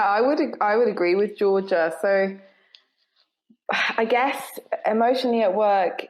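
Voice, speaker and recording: A woman's voice: medium pitch, darkish tone, poor audio. A woman in her twenties with a southern English accent, talking casually and reflectively as a podcast guest. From south of England. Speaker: podcast guest, newly qualifying nurse. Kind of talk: casual reflective interview talk.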